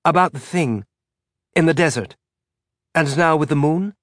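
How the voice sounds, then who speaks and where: A man's voice: fairly high pitch, measured pace, a bit bright, no room sound, studio quality, pitched above the performer's own voice. A man voicing a nervous young woman scientist who rambles eagerly, with a rising lilt. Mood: hesitant.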